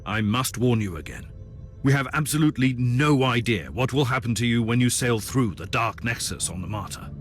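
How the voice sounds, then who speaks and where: An actor playing a grave, formal Inquisitor and war leader in a dramatic video game cutscene. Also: male voice, medium pitch, normal speed, faint music behind, lightly acted. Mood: grave warning. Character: stern, commanding male Inquisitor, grim war leader.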